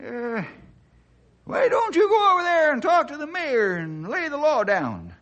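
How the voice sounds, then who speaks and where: High-voiced folksy old-timer sidekick. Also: male voice, wildly swooping pitch, unhurried, a bit bright, highs cut off, heavily acted. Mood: agitated, eager. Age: older. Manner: rustic old-timer talk. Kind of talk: old-time radio drama acting.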